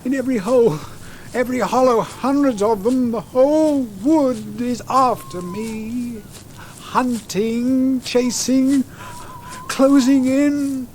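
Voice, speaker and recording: Slow-spoken, haunted English father. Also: extremely high pitch, darkish tone, a little hiss or hum. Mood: terrified, hunted. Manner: reluctant, guarded. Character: weary, withdrawn English writer father, haunted and evasive. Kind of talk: radio play acting.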